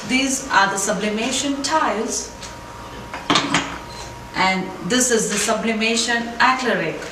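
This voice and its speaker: Female product demonstrator, thirties, higher-pitched, unhurried instructional read, distant mic in a noisy showroom. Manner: deliberate, instructional. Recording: echoey, distant camera mic, noisy room.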